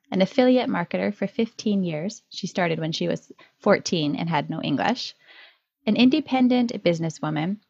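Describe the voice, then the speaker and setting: Gravelly-voiced woman host, dull dry sound. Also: mid-range voice, darkish tone, no echo. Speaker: podcast host. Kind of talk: interview hosting. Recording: dull dry recording with faint music.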